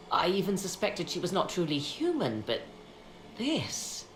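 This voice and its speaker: A woman voicing a proud, guarded sorceress in a video game, with formal, deliberate, theatrical phrasing. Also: on the low side. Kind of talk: dramatic video game dialogue. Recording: echoey, noisy game scene capture.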